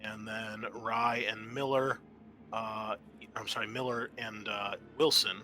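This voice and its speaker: A man narrating an improvised tabletop game as game master, halting often mid-sentence. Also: fairly low pitch, fairly even intonation, pushed and bright, a little hiss or hum.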